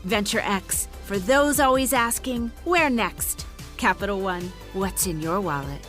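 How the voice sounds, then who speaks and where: A woman's voice: medium pitch, lively intonation, a little slow, a bit bright, soft background music. A woman announcer reading a scripted commercial voice-over. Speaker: advertising announcer.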